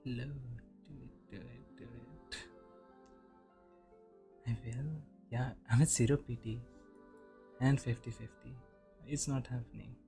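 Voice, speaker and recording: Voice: medium pitch, fairly even intonation, a little slow, vocal fry, long pauses, warm tone. A gaming video creator giving casual reactions in short remarks muttered to themself. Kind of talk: casual game reaction commentary. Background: game music.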